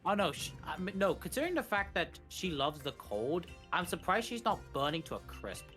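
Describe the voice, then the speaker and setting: Higher-voiced man explaining a game speedrun live. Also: sing-song, a little fast, pushed and bright. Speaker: speedrun commentator. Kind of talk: live gameplay commentary, explanatory. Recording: music behind the voice. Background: game music.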